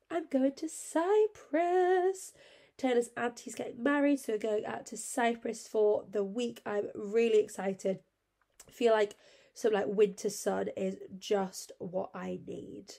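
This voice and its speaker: A young woman talks from her bed, her nose badly blocked by a cold. Her voice is gravelly with a dark, mellow tone and a slightly higher pitch. She rambles in a chatty, easygoing way, full of fillers and asides. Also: measured pace. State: congested with a cold. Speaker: podcast host. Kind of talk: casual solo podcast chat. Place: at home, in bed.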